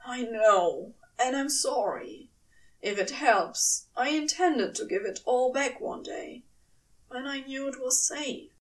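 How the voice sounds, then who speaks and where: A woman's voice: high voice, lively intonation, slow pace, far from the mic, poor audio. A woman voicing a male demon who is earnest, defensive and wry in an audio story. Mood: apologetic. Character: male demon, defensive, apologetic, wryly teasing.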